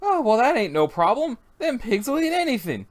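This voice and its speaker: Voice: medium pitch, sing-song, normal speed, voice pushed up, close to an everyday voice. A reader voicing a folksy, grinning pig-farm rogue with jokey rural speech. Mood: gleeful.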